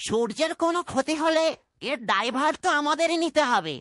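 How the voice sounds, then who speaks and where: Woman acting a stern, high-voiced cartoon father. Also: expressive, fairly quick. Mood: stern reproach. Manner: stern, reproachful, commanding. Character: stern, angry father and head of household. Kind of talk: children's fairytale cartoon dubbing.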